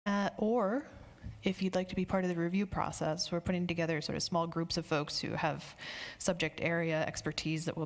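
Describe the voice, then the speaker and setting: Woman, lowish voice, conference Q&A, friendly casual answers. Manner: friendly, conversational, with fillers. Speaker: conference speaker. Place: conference hall.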